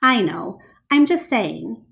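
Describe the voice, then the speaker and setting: Gentle, thoughtful male gorilla for a storybook, read by a woman, unhurried delivery. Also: mid-range voice, animated, gravelly, exaggerated voice. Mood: earnest, patient.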